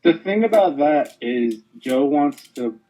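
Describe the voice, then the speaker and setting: A man's voice: on the high side, expressive, slow pace, warm tone. A man co-hosting a gaming chat, talking loosely with many "like" fillers. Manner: loose, filler-heavy speech. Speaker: gaming channel co-host. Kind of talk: casual chat about video games. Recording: dull lo-fi sound.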